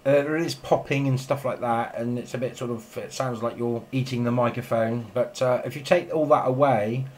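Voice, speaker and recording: A man's voice: medium pitch, fairly even intonation, normal speed, few pauses. A man chatting casually and in a rambling way as a radio operator filming in his home shack. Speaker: amateur radio operator and video maker.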